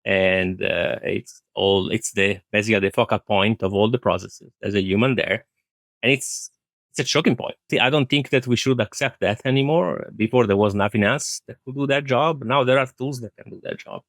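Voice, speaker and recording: A man's voice: lowish voice, moderate pace, fairly bright tone, no room sound, studio quality. A man answering interview questions as an IT director, explaining his views earnestly and repeating phrases for emphasis. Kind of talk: thoughtful conversational interview answers.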